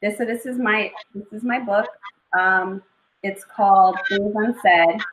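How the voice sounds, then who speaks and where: A young woman poet and author talking in a casual interview, thoughtful and unhurried, with many pauses. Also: mid-range voice, fairly even intonation, fairly bright tone, roomy sound, poor audio. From Eritrea and northern Ethiopia (family).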